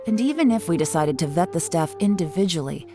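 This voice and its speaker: A woman's voice: lowish voice, lively intonation, fairly quick, over music, lightly acted. A woman playing a cool, shrewd female intelligence operative in a video game, composed, confident and knowing. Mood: analytical. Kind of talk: video game character acting.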